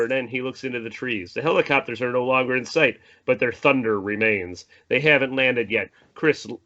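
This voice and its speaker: Bright-voiced man reading screenplay directions. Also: medium pitch. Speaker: narrator reading stage directions. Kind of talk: screenplay table read narration. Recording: dull lo-fi audio with faint noise.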